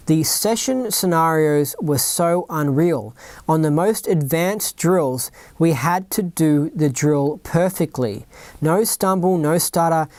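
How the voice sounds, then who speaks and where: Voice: mid-range voice, darkish tone, no room sound. Rambling Australian podcaster reading aloud. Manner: rambling, keeps asking "right?" and "you know what I mean?". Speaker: podcast host. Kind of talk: reading a written account aloud with off-script asides.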